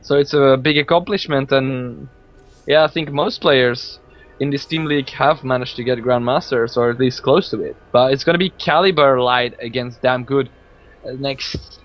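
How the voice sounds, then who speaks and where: A man from Belgium, not a native English speaker, casting a live esports match in a relaxed, chatty way with many fillers. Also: mid-range voice, normal speed. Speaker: esports caster. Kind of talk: live game commentary. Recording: dull lo-fi stream audio, faint music.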